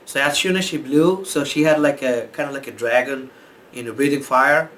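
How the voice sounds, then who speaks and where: A man from Bangladesh, a photographer, giving casual tips in a relaxed, rambling way with many fillers and restarts. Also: medium pitch, moderate pace. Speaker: photographer and host. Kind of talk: casual explainer talk.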